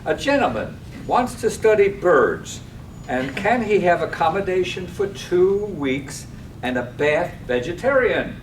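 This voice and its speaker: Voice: sing-song, unhurried. A performer acting a coaxing, easygoing village character in a comic stage reading of a radio play, wheedling with many ohs and hesitations. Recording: echoey, distant microphone, faint rumbling noise.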